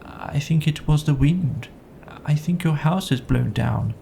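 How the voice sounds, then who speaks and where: A man voicing a gentle, friendly, slow-witted toy bear from a children's storybook, in a mild, musing, hesitant way. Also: fairly high pitch, vocal fry, a little hiss or hum. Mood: innocent.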